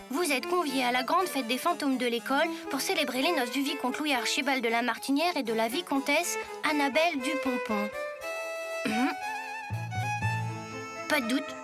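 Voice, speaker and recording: A woman's voice: high-pitched, normal speed, music in the background, lightly acted. A woman voicing a reluctant, exasperated schoolboy turned ghost in a French cartoon. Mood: puzzled, wry. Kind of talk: French animated series dubbing.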